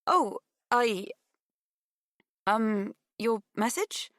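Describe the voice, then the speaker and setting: A woman's voice: medium pitch, expressive, moderate pace, fairly bright tone, dry recording, clean studio sound, voice pushed up, natural voice. A woman voicing a hesitant, courteous teenage girl investigator in audiobook dialogue. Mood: hesitant, apologetic. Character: nervous, polite teenage girl amateur investigator.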